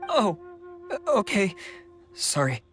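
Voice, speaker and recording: A timid, halting civil servant. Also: female voice, medium pitch, huge pitch swings, soft background music. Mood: flustered apology. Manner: hesitant, stammering, over-precise. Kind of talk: video game character acting.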